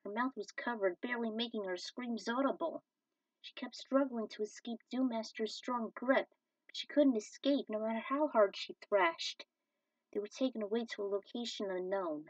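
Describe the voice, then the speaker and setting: Husky higher-voiced woman reading a story. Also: moderate pace, no echo. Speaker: fan fiction reader. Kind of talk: scripted story narration. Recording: dull, distant microphone.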